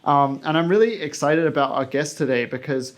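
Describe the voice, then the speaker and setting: A man's voice: fairly high pitch, brisk. A man hosting a podcast, interviewing a guest in a conversational, enthusiastic manner. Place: small basement room on an indoor farm.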